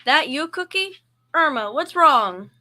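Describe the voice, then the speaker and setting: A bright, high-set voice of a schoolgirl actress plays a young working woman in an old-time radio comedy. She is sensible and affectionate, with a weary edge. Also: expressive, measured pace, heavily acted. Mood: worried, resolute. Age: middle school student.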